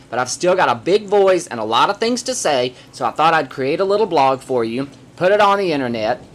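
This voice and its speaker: A man who performs in drag, hosting his own vlog and giving emphatic, opinionated commentary straight to the camera, hammering points home with repetition. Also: fairly high pitch. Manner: emphatic, forceful, repeats points for effect.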